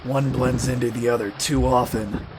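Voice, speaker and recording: A man playing a gruff, world-weary warrior in a fantasy audio drama, blunt and gravelly, with rough colloquial speech. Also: medium pitch, steady tone, normal speed, background noise, natural voice.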